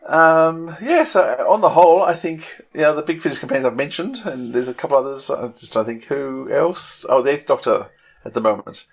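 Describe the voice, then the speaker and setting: A man's voice: on the high side, fairly quick. A middle-aged man co-hosting a podcast in casual fan chat, opinionated and often hesitating as he thinks aloud. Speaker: podcast co-host.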